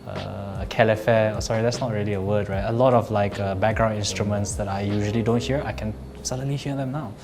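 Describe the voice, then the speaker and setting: A man's voice: on the low side, steady tone, fairly quick, music in the background. A man in his thirties with a Malaysian accent, giving casual unscripted impressions and hesitantly thinking aloud. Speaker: tech reviewer. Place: office meeting room.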